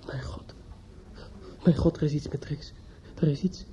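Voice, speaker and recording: A man's voice: a little high, fairly even intonation, unhurried, in a whisper, lightly acted. An actor playing a distraught, desperate young man in a radio play, hesitant and stammering as he speaks. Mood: panicked muttering. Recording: muffled old radio play recording.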